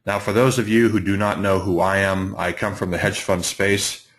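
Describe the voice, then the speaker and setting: A middle-aged man, slightly hoarse, hosting a live trading webinar with many fillers and restarts. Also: deep voice, level tone, normal speed, a bit bright, poor audio. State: hoarse from allergies. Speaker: trading educator, webinar host.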